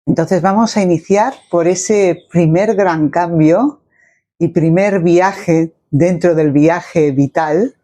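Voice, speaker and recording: A man's voice: fairly high pitch, slow, dark tone. A man hosting a conversational interview, phrasing his questions in a reflective, elaborate way. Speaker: interviewer, host.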